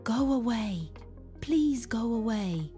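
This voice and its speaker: A woman voicing a timid, gentle little boy squirrel in a bedtime storybook, speaking softly and tenderly. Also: fairly high pitch, animated, slow pace, faint music behind, voice pushed up. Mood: scared, pleading. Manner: soft, whispery, tender. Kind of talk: children's bedtime storybook character voice.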